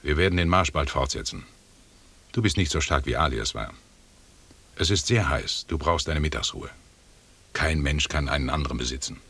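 Very deep, husky expedition commander. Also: male voice, a bit bright, heavily acted. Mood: calm, stern. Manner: measured, formal, authoritative. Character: calm, imperious British expedition leader. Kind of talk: German radio drama acting.

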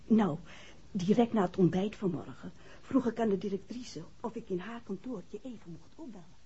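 A woman's voice: medium pitch, normal speed, mellow, husky. An actress with a Netherlands Dutch accent playing an anxious, defiant mother in a 1960s radio play. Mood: firm, insistent. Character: anxious, defiant working woman, mother of injured man. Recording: muffled, hazy old radio recording.

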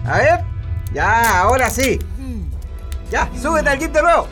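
High-voiced, bullying Chilean military officer. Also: male voice, big pitch swings, measured pace, loud music behind the voice, full character voice. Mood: mocking, cruel. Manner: barking, commanding, intimidating. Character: menacing, bullying male military officer barking orders. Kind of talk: radio drama acting.

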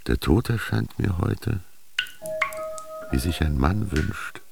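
An actor playing a dying ancient Egyptian scribe in a radio drama, solemn and declamatory with a gravelly, raspy voice. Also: male voice, sits low, lively intonation, slow, over loud music, full character voice. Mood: solemn, defiant. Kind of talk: slow theatrical radio drama monologue.

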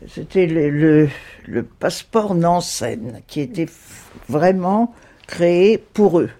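A woman's voice: on the low side, expressive, takes it slowly, dark tone. A woman in her eighties answering questions about her past in a plain, matter-of-fact way. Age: 80s. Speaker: interviewee.

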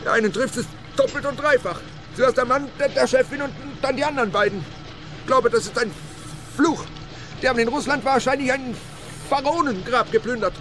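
Very high-voiced, simple-minded male garage worker, tripping over words with a stammer. A radio play figure with music clearly behind him. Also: a little fast. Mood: naive, chatty. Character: simple, stammering young gas station employee, good with motors.

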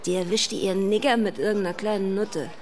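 Radio crime play: actress as a brash, mocking street woman, average pitch, flippant delivery. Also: fairly even intonation, fast talker, a little hiss or hum, natural voice. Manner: flippant, slangy. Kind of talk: radio crime drama acting.

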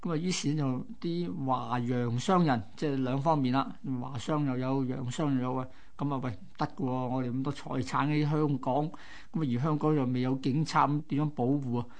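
A man's voice: mid-range voice, steady tone, fairly quick. A man with a mid-range voice tells history as a co-host. He explains in colloquial Hong Kong Cantonese, like chatting, with vivid exclamatory phrasing. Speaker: radio co-host. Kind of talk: conversational history storytelling.

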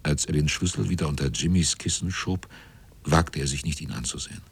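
An actor narrating a radio drama as a grave seaman, with a husky, often hushed delivery. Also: a bass voice, fairly quick, full character voice. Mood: sombre, suspenseful.

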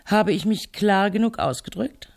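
An actress playing a headstrong, sardonic middle-aged crime novelist in a radio play, assertive, dry and teasing. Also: female voice, mid-range voice, normal speed, gravelly, a little mellow, natural voice. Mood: imperious, sardonic. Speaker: radio drama actor. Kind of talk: German radio play dialogue.

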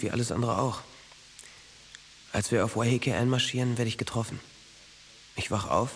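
Ein Schauspieler in einem Hörspiel spielt einen verträumten jungen, traumatisierten Soldaten, der in kurzen, schlichten Sätzen erzählt. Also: male voice, fairly low pitch, creaky, slight background noise. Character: dreamy young traumatized soldier who believes he can fly. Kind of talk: naturalistic German radio drama acting.

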